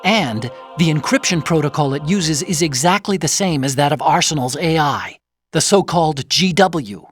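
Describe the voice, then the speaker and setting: An actor playing a brainy, earnest tech expert in a video game, explaining things in a careful way. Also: male voice, a little high, lively intonation, moderate pace, lightly acted. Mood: serious, explaining. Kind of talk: dramatic video game voice acting. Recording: music behind the voice.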